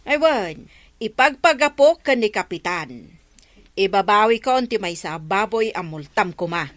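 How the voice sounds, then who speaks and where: Bright, firm Ilocano village woman. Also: mid-range voice, lively intonation, measured pace, no room sound. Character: aggrieved village woman, firm but relenting. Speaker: actress in a radio comedy drama. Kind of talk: Ilocano radio comedy-drama acting.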